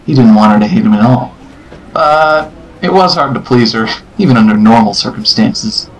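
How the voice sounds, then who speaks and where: A man's voice: lowish voice, moderate pace, slightly rough, rough recording. A man reading scripted story narration for an amateur audio drama.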